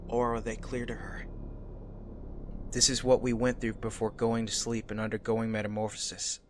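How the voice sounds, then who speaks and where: A man voicing a young, brooding angel soldier in a visual novel, speaking in a measured monotone. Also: lowish voice, slight background noise, lightly acted. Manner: flat, monotone, measured delivery. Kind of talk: scripted visual novel narration and dialogue.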